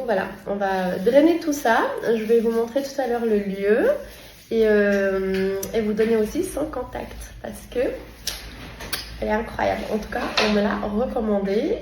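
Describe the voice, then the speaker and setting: A woman's voice: a little high, moderate pace, darkish tone, reverberant, slight background noise. Chatty non-native French-speaking woman vlogger. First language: not French. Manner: chatty, searching for French words. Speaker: lifestyle influencer. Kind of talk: casual vlog talk to followers.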